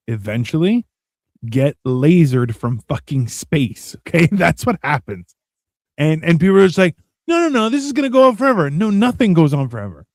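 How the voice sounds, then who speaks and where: A man with a fairly high voice rambles through sarcastic bits, often stopping and restarting. The sound is dry, with no room echo, and he keeps a loose bantering manner. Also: darkish tone. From United States. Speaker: podcast co-host. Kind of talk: casual banter.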